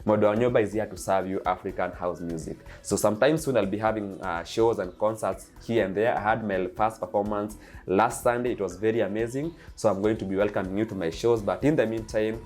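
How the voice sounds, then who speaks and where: A young Kenyan man speaks in a low voice with a Kenyan accent. He talks eagerly and loosely, piling up repeated lists and filling his pauses with "uh". Faint music plays quietly beneath him. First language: Kikuyu. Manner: eager, repetitive lists, frequent uh fillers. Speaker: DJ and channel host. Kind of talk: casual vlog monologue.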